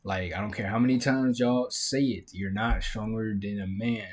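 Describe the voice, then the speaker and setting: Young guy reacting to a vid, kinda husky, loose slangy chat. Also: lowish voice, fairly even intonation, moderate pace. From Maryland, USA. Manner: slangy, laid-back, talks over the video. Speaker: reaction channel host. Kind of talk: casual reaction commentary.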